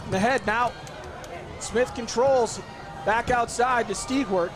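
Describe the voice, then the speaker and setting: A man calling a live soccer match play by play, hoarse and losing his voice, his voice jumping up on big chances. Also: extremely high pitch, takes it slowly, music in the background. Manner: excitable, voice jumps up on big chances. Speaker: play-by-play commentator. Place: outdoor soccer field. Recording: loud crowd and outdoor noise. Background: cheering crowd.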